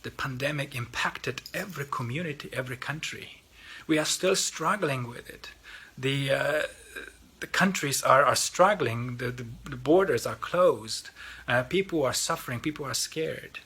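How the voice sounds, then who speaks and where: Polish accented guy doing a church mission appeal, earnest, bright kinda husky voice, keeps restarting words. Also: medium pitch, moderate pace. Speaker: representative of a lay missionary group. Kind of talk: mission appeal speech to parishioners. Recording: video message.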